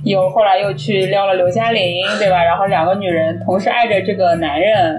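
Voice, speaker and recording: A woman's voice: medium pitch, steady tone, fairly quick. A young woman from Shanghai co-hosting a podcast, chatting informally and asking questions in an inquisitive way. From Shanghai, China. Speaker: podcast co-host and interviewer. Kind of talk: casual chat. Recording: music clearly playing behind the voice.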